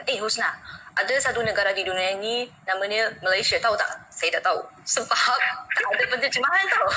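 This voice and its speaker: A woman's voice: on the high side, sharp tone, slight background noise, rough recording. A woman from China, a native Mandarin speaker with a Chinese accent, chatting casually as an interview guest over an internet call.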